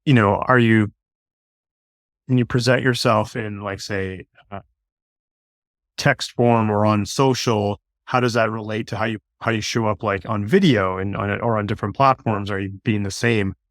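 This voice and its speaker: A man with a lowish voice chats easily as a podcast guest, thinking his way through each point as he talks. He comes through a video call with a dry, close sound. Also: fairly even intonation, moderate pace, no echo. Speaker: guest, wellness coach. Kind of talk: casual chat.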